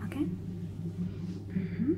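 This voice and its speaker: A woman in her thirties whispering breathily in an ASMR flight attendant roleplay, with halting, hesitant phrasing, close to the microphone. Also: heavy background noise.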